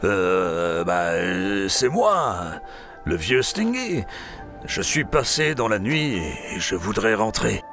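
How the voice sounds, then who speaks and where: A man playing a sly, grumbling old village scoundrel in an audio drama, creaky, hesitant and wheedling. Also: fairly low pitch, very animated, takes it slowly, music in the background, exaggerated voice. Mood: sheepish, hesitant. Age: older. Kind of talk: French audio fiction acting.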